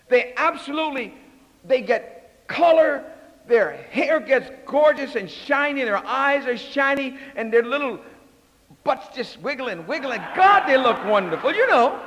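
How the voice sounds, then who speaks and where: Older man, very high voice, candid story at a recovery meeting, long hall reverb. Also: fairly bright tone. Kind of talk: personal story talk.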